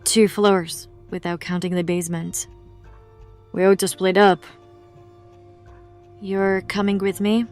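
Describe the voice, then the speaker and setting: A woman's voice: medium pitch, level tone, slow, pauses often, darkish tone, clean tone, faint music behind, natural voice. A woman playing a grave, knowing investigator of the occult who explains things in formal words in an audio drama. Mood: resolute.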